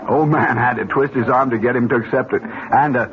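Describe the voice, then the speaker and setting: A man's voice: mid-range voice, a little fast, soft background music, natural voice. An American actor playing a rueful, plain-spoken corporate man who talks colloquially and emphatically in a vintage radio drama. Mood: rueful, emphatic. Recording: dull old radio recording, crowd murmur behind.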